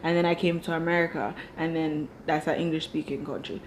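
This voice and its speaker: A woman's voice: low-pitched, fairly even intonation, fairly quick, a little hiss or hum, rough recording. A young Jamaican-accented woman, raised speaking Dutch, giving a blunt, chatty TV review full of swearing and tangents. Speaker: TV show reviewer. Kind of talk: casual reaction commentary.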